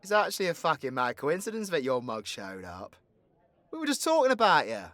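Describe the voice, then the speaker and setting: Brash, high-pitched British rogue supervillain, acted by a man. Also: huge pitch swings, fairly quick, fairly bright tone, no room sound. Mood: sardonic, cocky. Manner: brash, mocking, sweary slang. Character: crude, cocky, teasing British supervillain, foul-mouthed rogue. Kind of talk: audio roleplay voice acting.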